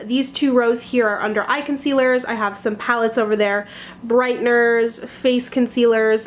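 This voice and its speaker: A young woman with a slightly high voice talks through products in a casual home vlog. She is upbeat and chatty, with a stuffed-up, congested sound from a cold. She goes from item to item in a quick, friendly way. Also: a little hiss or hum. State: stuffy nose from a flu. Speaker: beauty vlogger. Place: bright room at home, indoors.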